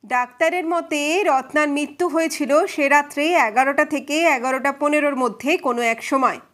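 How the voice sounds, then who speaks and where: Woman voicing a calm, high-voiced probing detective. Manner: measured, polite, methodical questioning. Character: calm, probing male private detective, politely unruffled. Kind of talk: dramatized detective audio story.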